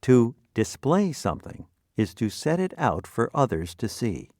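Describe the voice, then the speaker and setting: A man narrating a scripted vocabulary lesson in deliberate, clearly separated phrases. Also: medium pitch, big pitch swings, darkish tone, dry recording, studio quality.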